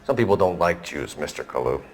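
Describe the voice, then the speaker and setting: An actor playing an earnest, stern young police detective in a video game, speaking formally and forcefully. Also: male voice, rumbling low, expressive, brisk, vocal fry, heavily acted. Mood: provoking. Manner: formal, clipped, forceful in questioning. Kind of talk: video game dramatic acting.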